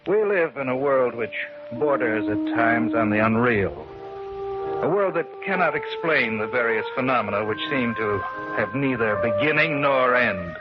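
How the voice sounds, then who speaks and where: A man's voice: mid-range voice, expressive, moderate pace, loud music behind the voice, close to an everyday voice. An actor playing a serious, uneasy man in a supernatural tale, in 1950s radio drama style. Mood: grave. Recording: dull old radio recording.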